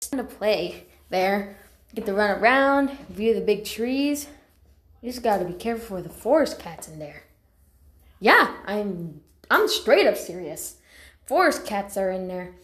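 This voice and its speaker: A woman voicing a friendly, excitable young female house cat with an earnest, slightly hesitant delivery, in amateur cartoon voice lines. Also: fairly high pitch. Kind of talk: amateur character voice lines, acted. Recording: echoey room.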